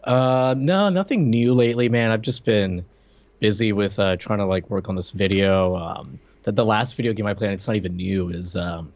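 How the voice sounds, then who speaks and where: A man's voice: on the low side, moderate pace, no room sound, lo-fi sound. A man co-hosting a podcast in a casual livestream chat, with playful, teasing banter. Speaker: podcast co-host.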